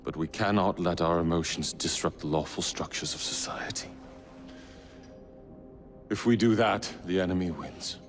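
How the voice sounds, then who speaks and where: An actor playing a cold, imperious villain leader with a grandiose, formal, theatrical delivery in a video game. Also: male voice, sits low, moderate pace, long pauses, lightly acted. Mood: grave, controlled. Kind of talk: dramatic video game acting. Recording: hall reverb under loud music.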